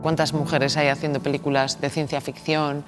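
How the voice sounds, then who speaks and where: A woman's voice: sits low, level tone, moderate pace, music in the background. A woman film director from the Basque Country with a Basque Spanish accent, answering interview questions in a thoughtful, hesitant way and often repeating words as she searches for them. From Basque Country, Spain.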